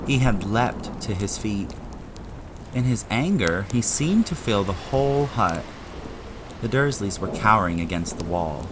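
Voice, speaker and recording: A man's voice: lowish voice, animated, measured pace, background noise. A man giving dramatic scripted narration for an audiobook-style audio drama.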